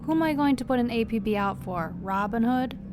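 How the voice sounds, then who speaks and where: A woman's voice: a little high, animated, fairly quick, darkish tone, a little hiss or hum, lightly acted. A woman playing a tough, sardonic police captain who questions relentlessly in an audio drama. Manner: sarcastic, probing, unflinching. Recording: music behind the voice.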